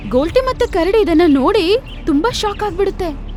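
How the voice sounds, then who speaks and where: A woman's voice: very high-pitched, expressive, moderate pace, music in the background. A woman narrating a scripted animal story for children in a storyteller's style.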